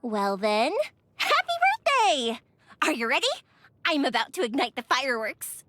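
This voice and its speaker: A woman playing a cheerful, energetic young fireworks maker in a video game, bubbly, playful and expressive in her delivery. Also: high voice, normal speed, dry recording, exaggerated voice. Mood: warm, celebratory, then excited, bold. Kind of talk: animated video game character voice lines. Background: music.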